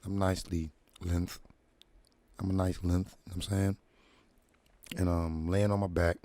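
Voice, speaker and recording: A man with a very low, dark, mellow voice spins a long story at an easy pace, full of street slang and asides to his listeners. He leans into a deliberate late-night radio delivery. The sound is close and dry, with no room around it. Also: flat delivery, no echo. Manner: laid-back slangy storytelling, "dig me" asides. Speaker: show host. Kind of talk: casual storytelling monologue. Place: at home, relaxing with feet up.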